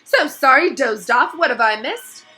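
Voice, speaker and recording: A vain, bluffing male professor from a storybook, acted by a woman. He sounds high, loud and flustered, evasive in every phrase. Music plays clearly behind. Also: lively intonation, measured pace, exaggerated voice. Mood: breezy.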